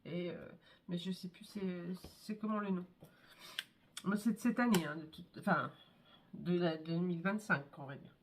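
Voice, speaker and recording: A woman with a cold showing her scrapbook albums in a casual, chatty way, with many fillers and pauses. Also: fairly low pitch, a little hiss or hum. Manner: chatty, hesitant, many fillers and "hop, voilà". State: has a cold, congested. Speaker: scrapbooking vlogger. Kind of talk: casual show-and-tell craft video.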